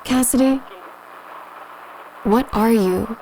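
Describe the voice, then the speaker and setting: A woman's voice: fairly high pitch, slow pace, mellow. An actress playing a stern female agent in an audio drama, questioning curtly and insistently. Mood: stern, impatient. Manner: curt, insistent. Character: stern, no-nonsense female agent interrogating a suspect. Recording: noisy interrogation recording with ambience.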